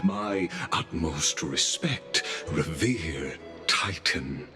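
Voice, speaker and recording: A man's voice: a bass voice, animated, drawn-out words, full character voice. An actor voicing a courteous, ceremonious male envoy who speaks in a genteel, formal way and chuckles often, in a game cutscene. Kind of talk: studio game voice acting. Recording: game cutscene with music behind.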